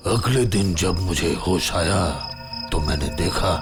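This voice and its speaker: A man playing an older uncle who recounts his ghostly encounter in measured, suspenseful phrases for a horror audio story. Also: low-pitched, music in the background. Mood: solemn.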